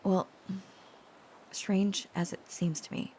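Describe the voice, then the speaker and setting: A woman playing a tender, protective young dragon-descended girlfriend in an intimate romantic audio roleplay, speaking gently and hesitantly in a breathy, often whispered voice. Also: on the low side, fairly even intonation, slow pace. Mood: earnest, devoted. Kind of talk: intimate roleplay audio drama, softly acted.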